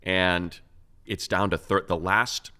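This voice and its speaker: Male sports host, American, low forceful voice, emphatic and conversational, rambles through football analysis off the cuff. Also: fairly even intonation, slow, clear, resonant tone. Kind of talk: unscripted football talk.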